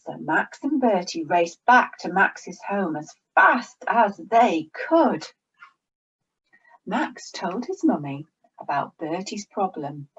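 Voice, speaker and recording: Slow, expressive woman storyteller. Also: medium pitch, husky, highs cut off. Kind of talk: reading a children's story aloud.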